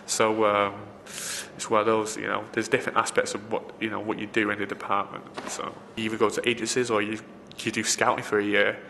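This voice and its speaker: A man's voice: fairly low pitch, monotone, brisk, gravelly, hardly any pauses, pushed and bright. A man, a film location assistant, giving rambling interview answers full of fillers and restarts. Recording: reverberant room, faint background noise.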